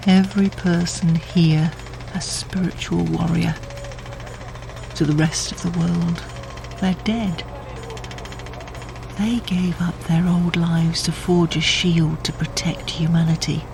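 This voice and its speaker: An actor in a sci-fi audio drama playing an ailing, reverent leader who speaks slowly and grandly. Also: medium pitch, creaky, noisy background. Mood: weak, solemn.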